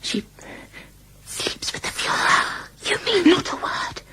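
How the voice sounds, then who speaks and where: An actress playing a furtive, gossiping servant, speaking in hushed whispery tones in a radio drama. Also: female voice, slow. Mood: hushed, conspiratorial.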